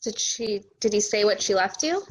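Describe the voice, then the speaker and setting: Casting: busy, emotionally cool wife female actor, mid-pitched, questioning and clipped, naturalistic TV drama read. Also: lively intonation, moderate pace, slightly rough, rough recording. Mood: guarded, dismissive. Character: cool, busy corporate lawyer wife, guarded.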